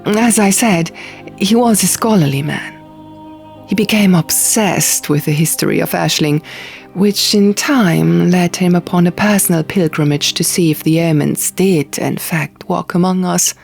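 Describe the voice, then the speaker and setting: A woman's voice: fairly low pitch, measured pace, gravelly, a little mellow, soft background music. A woman voicing a merry, tipsy young king who tells stories in a casual, chatty way, as in an audiobook. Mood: storytelling.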